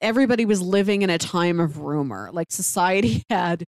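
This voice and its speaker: Woman, expressive melodic delivery, dry room-free sound, casual chat, podcast co-host. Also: on the low side, fairly quick, dry recording. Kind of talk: casual discussion of stories.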